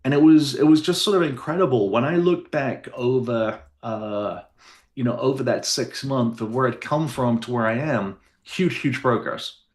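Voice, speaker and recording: A man's voice: mid-range voice, normal speed, echoey room. A man who is a guest on a radio interview, telling his personal story in an earnest, rambling way. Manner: earnest, rambling, with fillers and restarts.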